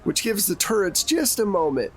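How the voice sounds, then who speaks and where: A man's voice: sits high, big pitch swings, normal speed, a bit bright, a little hiss or hum. A man acting as game master in a tabletop role-playing game, prompting players conversationally with questions and rulings.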